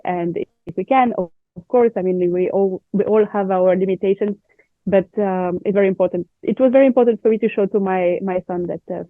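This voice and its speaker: A woman's voice: fairly low pitch, no room sound. French-accented woman, muffled recording, slight cold. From France. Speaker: guest expert, baby planner. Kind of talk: conversational interview.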